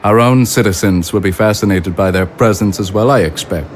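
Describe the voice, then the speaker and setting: A man acts as a courtly consul in an audio drama. He is a smooth talker with a low voice. His delivery is formal and stately, with polite condescension. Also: fairly quick, slight background noise, close to an everyday voice. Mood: politely pointed. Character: courtly, smooth-talking male consul of the living. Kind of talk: dramatic dialogue acting.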